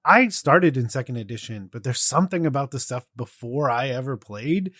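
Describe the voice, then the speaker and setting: Male co-host, mid-pitched, conversational and informal, for a hobby podcast with a dry sound. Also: animated, normal speed, fairly bright tone, no echo. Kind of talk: casual podcast chat.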